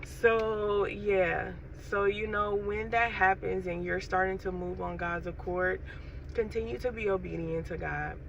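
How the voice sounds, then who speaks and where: A woman with a scratchy throat and a stuffy nose gives an unhurried, casual spiritual message to her viewers, often saying "you know" and "um". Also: mid-range voice, steady tone, vocal fry. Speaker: faith vlogger. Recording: dull, distant lo-fi recording with faint noise.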